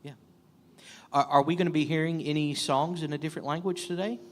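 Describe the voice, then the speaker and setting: A man's voice: on the high side, fairly even intonation, fairly quick, big-room sound. A man hosting a live radio show, who interviews his guest playfully with stammering restarts.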